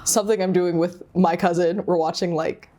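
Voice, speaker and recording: A young adult woman interviewing casually as a co-creator, effusive and animated in the way she tells things. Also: lowish voice, normal speed.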